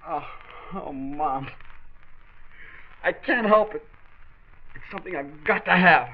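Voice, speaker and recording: A man's voice: a little high, lively intonation, slow pace, full character voice. An actor playing an anxious, hesitant teenage boy drawn into drug addiction in a 1940s radio drama. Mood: desperate. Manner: hesitant, uneasy. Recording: dull lo-fi old radio recording.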